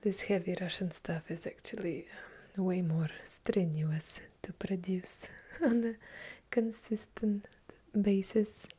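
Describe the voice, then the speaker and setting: Brief: woman, Eastern European accent, ASMR whisperer; low mellow whisper, unhurried delivery. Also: breathy edge. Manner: soft unvoiced whispering, unhurried, chatty with small self-corrections. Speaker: ASMR creator answering viewer questions.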